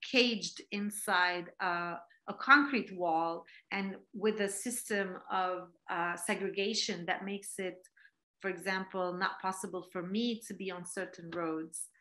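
A woman's voice: mid-range voice, sharp tone. A woman in her forties from Palestine, giving a reflective online talk as a guest speaker, with many fillers and restarts, heard through a laptop on a video call. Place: home room, indoors. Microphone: laptop webcam video call.

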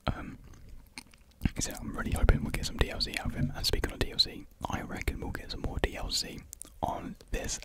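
A young man speaks in a breathy whisper close to the microphone, rambling softly and hesitantly in a casual ASMR chat. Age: young adult. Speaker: ASMR video creator. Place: small room with painted block walls. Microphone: large desktop USB condenser microphone, close up.

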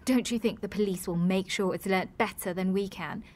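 A woman's voice: mid-range voice, normal speed, a little mellow, lightly acted. An actress playing a stubborn, indignant woman in a radio soap, blunt and sardonic. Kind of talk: radio soap opera acting.

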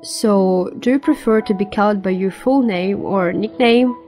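Woman voicing a serious, reserved teenage girl, medium pitch. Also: normal speed, warm tone, close to an everyday voice. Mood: shy, serious. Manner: terse, formal. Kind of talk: animated comic dub acting. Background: faint music.

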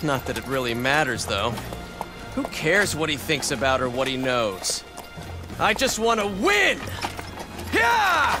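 A young, hot-headed warlord in a video game drama. His voice sits high for a man, with an eager, cocky, informal way of talking. Music plays clearly behind him. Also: very animated, measured pace, long pauses. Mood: musing, defiant.

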